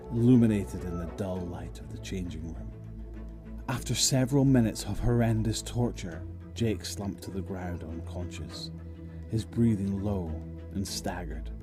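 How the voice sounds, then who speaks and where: A man narrating an audio drama, reading scripted dramatic prose. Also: deep voice. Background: music.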